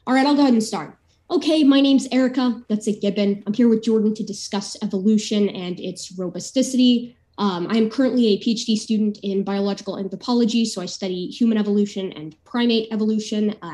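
Young woman with a cold, warm and relaxed, casual presenter with many fillers. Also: medium pitch. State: has a cold. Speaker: PhD student debater. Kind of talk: opening a debate presentation. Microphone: video call.

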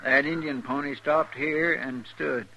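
An actor playing a loyal, excitable frontier sidekick in a 1950s radio western, folksy, with a rural American accent. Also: male voice, on the high side, a little slow. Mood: puzzled, chatty. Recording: noisy old radio recording.

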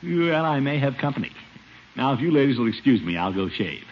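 An actor playing a pompous middle-aged uncle feigning illness in a radio sitcom, with blustering, coy, drawn-out delivery. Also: male voice, medium pitch, big pitch swings. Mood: blustering, evasive. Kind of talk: 1950s radio sitcom acting. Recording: muffled, noisy old radio broadcast.

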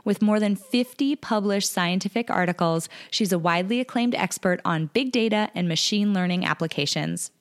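A woman's voice: mid-range voice, moderate pace, hardly any pauses. Upbeat woman podcast host. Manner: upbeat, enthusiastic. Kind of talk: scripted intro and interview.

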